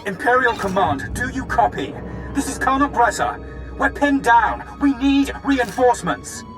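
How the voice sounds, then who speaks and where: A man's voice: very high-pitched, lively intonation, moderate pace, fairly bright tone. A man playing a desperate, pleading alien army colonel in a video game. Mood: urgent, desperate. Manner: urgent, pleading, defensive. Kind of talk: scripted video game acting. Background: music.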